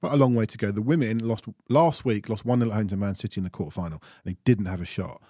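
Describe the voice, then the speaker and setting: A man with a low, mellow voice hosts a casual fan podcast. He talks in an easygoing way and laughs often. His voice sits close on a dry mic. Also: no echo. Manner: easygoing, laughs often, runs through news briskly. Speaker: podcast host.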